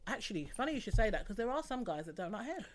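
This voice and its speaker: A woman's voice: on the high side, big pitch swings, very fast. A woman co-hosting a podcast, talking frankly and bluntly in casual chat. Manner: frank, outspoken, laughs easily. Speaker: podcast co-host.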